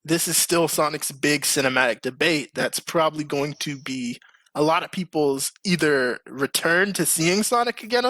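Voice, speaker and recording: A man's voice: a little high, moderate pace, bright, forceful tone, dry recording. A man hosting a podcast in casual, opinionated panel chat, with slangy phrasing. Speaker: podcast host.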